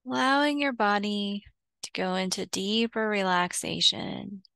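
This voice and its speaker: A woman leading a guided visualization as a meditation teacher, soothing and unhurried, leaving long pauses between short phrases. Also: medium pitch, gravelly, pushed and bright, clean tone, no room sound.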